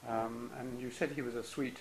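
A male interviewer asking questions politely and hesitantly, with many ums and soft acknowledgements. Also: mid-range voice, steady tone, normal speed, a little hiss or hum, poor audio. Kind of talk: oral history interview.

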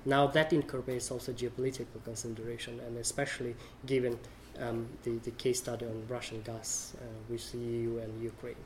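A man's voice: mid-range voice, level tone. Male panelist, hesitant delivery, middle-range voice, faint background hiss. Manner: halting, searching for words. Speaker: panel speaker. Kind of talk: academic panel talk.

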